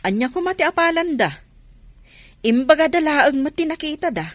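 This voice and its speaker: An animated, suspicious younger sister. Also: female voice, sits high, moderate pace, no echo. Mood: insistent, concerned. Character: concerned, suspicious younger sister warning insistently. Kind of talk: Ilocano radio drama acting.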